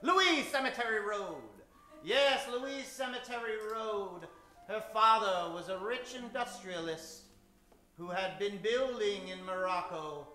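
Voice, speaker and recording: In a very reverberant theater, with music playing behind him, a man announces an old-time radio show. His voice is high for a man and set back from the microphone. He delivers everything with grandiose, tongue-in-cheek showmanship and dramatic pauses. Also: bright, forceful tone, distant mic. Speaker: host and announcer of a live radio-play show. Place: live theater stage, echoing room.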